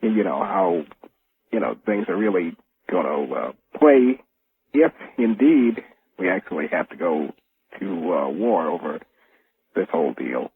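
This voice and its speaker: An American man calling a radio show by telephone, speaking casually in a halting, hesitant way and repeating himself. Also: low-pitched, very animated, breathy edge, no echo. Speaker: radio phone-in caller. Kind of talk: casual phone-in talk. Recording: narrow, tinny phone line on radio.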